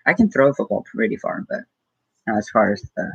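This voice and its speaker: A man's voice: on the low side, sing-song, brisk, gravelly, breathy edge, no echo, highs cut off. A teenage boy with an Arizona accent, hosting a casual live-stream Q&A from his room, reading out viewer questions and answering with many ums and likes. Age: teens. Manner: hesitant, lots of ums and likes, reads questions aloud then answers offhand. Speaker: small YouTuber hosting a live Q&A. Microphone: computer mic.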